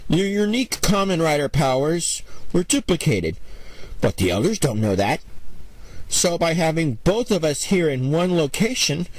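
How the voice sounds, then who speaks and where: A man's voice: a little high, sing-song, normal speed, no echo, voice pushed up. A man voicing a smug, scornful villain clone in a superhero audio drama, condescending and taunting over music. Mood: gloating.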